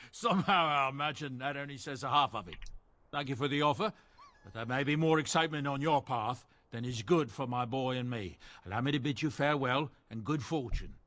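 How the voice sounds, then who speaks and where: Male actor as genial dwarf trader, bright forward voice, folksy, polite, fatherly, fantasy video game. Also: mid-range voice, a little fast, dry recording, natural voice. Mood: amused, polite. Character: genial, courteous dwarf merchant and doting father.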